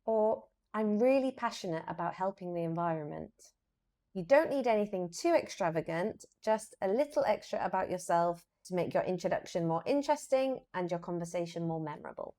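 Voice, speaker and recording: A British woman with a fairly low voice speaks as an English teacher. She explains in a structured, instructional style, pronouncing model phrases deliberately and with emphasis so learners can follow. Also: animated, warm tone. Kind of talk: instructional lesson to camera, scripted explanation with example phrases.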